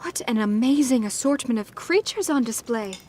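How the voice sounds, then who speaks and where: A woman's voice: on the high side, lively intonation, normal speed, darkish tone. A woman playing a sweet, hopeful young bride in an audiobook fairy tale, with a polite, formal, eager manner.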